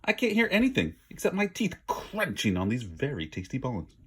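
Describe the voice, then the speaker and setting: A man's voice: medium pitch, wildly swooping pitch, moderate pace, a bit bright, slightly rough, heavily acted. A man voicing a mocking, boastful and greedy prehistoric human in a storybook read-aloud, stretching words for emphasis. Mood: boastful, scornful.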